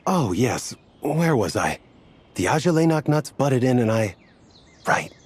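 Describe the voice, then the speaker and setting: A man's voice: mid-range voice, sing-song, measured pace, slightly rough. A man voicing an earnest, flustered man who meanders and gets sidetracked while recounting a strange ordeal. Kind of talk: game cutscene dialogue.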